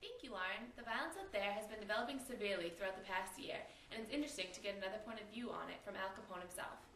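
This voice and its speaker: A woman's voice: medium pitch, lively intonation, rapid-fire, fairly bright tone, recorded from a distance. A woman plays an earnest 1920s news anchor in a homemade broadcast. She reads formally from a script, away from the mic in an echoey room with faint noise. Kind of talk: amateur scripted mock newscast.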